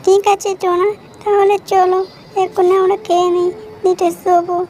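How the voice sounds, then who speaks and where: Very high, chirpy little cartoon bird. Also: female voice, flat delivery, normal speed, mellow, a little hiss or hum. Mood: eager. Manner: exclaiming, repeats phrases. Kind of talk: children's cartoon story dialogue.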